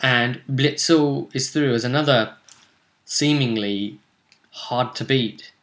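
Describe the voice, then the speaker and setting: A man with a bright, forward voice at an average male pitch. He recounts a tabletop role-playing game casually as its game master, telling it with emphasis and dramatic pauses.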